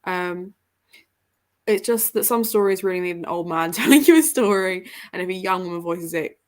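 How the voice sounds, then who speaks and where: A woman's voice: on the low side, normal speed, a little mellow. A woman hosting a casual book livestream, chatting and reading out comments in a jokey, self-deprecating way. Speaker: host of a book livestream with guests.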